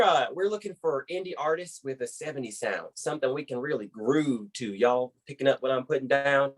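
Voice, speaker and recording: A man's voice: mid-range voice, slightly rough. A man acts out a folksy American boss leading a team call in a comedy web series. He rambles in a mid-range voice, effusive and upbeat, heaping praise as he hands out orders.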